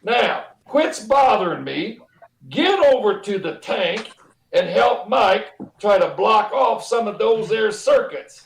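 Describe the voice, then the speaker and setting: Man playing a gravelly, blustering boss. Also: sits high, expressive, slow pace, echoey room, a little hiss or hum, exaggerated voice, poor audio. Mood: exasperated. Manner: blustering, ungrammatical tough talk. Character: gruff, bossy, exasperated working-class boss. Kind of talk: radio comedy acting.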